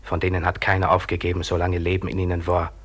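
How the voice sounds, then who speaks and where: An actor in a German radio drama playing an insistent companion who urges a trapped worker to endure, in short clipped phrases. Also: male voice, low voice, completely flat delivery, quick pace, breathy edge. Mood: urgent, insistent.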